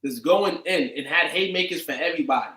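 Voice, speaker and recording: A man co-hosting a casual sports chat, excitable and hesitant with "um.". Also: a little high, fast, roomy sound, rough recording. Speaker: co-host.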